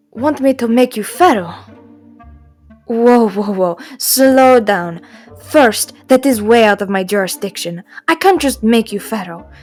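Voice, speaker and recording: A woman voicing a sassy, spiteful and insecure male god of wishes in a fantasy audio roleplay, theatrical, indignant and whiny. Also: a little high. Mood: incredulous, flustered.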